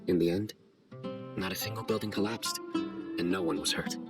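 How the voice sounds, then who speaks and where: A stern young male investigator from a video game, acted by a man, with a low voice and formal, deliberate phrasing. He is guarded and measured, choosing his words with care. Music plays clearly behind him. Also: fairly even intonation, lightly acted. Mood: solemn, storytelling. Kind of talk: scripted video game dialogue.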